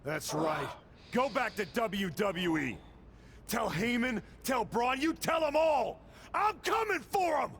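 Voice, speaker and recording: An actor playing a cocky, vengeful pro wrestling villain in a video game, boastful and taunting. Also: male voice, very high-pitched, sing-song, slow pace, a bit bright, a little hiss or hum, exaggerated voice. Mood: furious, shouting.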